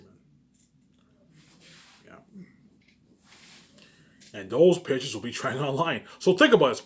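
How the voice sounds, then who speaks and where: A man's voice: mid-range voice, background noise. A man in a rambling informal monologue to viewers, boastful and circling back over the same phrases. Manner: boastful, self-aggrandizing.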